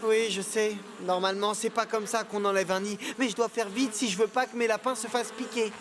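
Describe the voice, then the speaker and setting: A woman voicing an eager, headstrong country boy in a cartoon dub, confident and excitable, over music. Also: medium pitch, a little fast, lightly acted. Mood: defensive.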